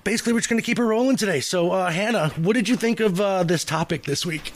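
Male podcast host, voice on the higher side, casual and rambling, halting delivery. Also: dry recording. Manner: halting, repetitive, chatty.

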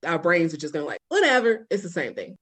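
A young woman hosting a casual interview podcast, playful and quick to laugh. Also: fairly low pitch, big pitch swings, fairly bright tone, husky. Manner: laughs often, playful asides. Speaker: podcast host.

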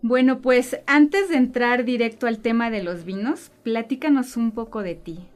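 A woman's voice: on the high side, moderate pace. A woman with a Mexican Spanish accent hosts a conversational podcast interview.

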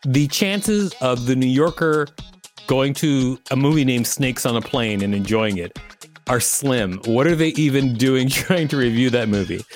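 A man's voice: mid-range voice, unhurried, soft background music. A man co-hosting a podcast, reading an article aloud and adding casual, opinionated banter. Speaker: podcast co-host.

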